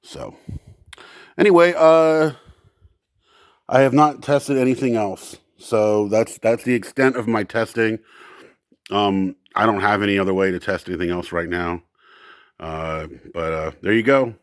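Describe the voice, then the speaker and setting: A man giving a casual, unscripted demonstration, explaining things in a halting, rambling way and often correcting himself. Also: fairly low pitch, clean tone, no echo, clean studio sound. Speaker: presenter testing vintage audio gear.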